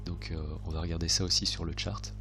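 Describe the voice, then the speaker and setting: A man's voice: deep voice, flat delivery, quick pace, creaky, pushed and bright, soft background music. A man with a slightly congested, cold-affected voice, giving hesitant, unscripted chart commentary as a trading video host, often correcting himself as he thinks aloud.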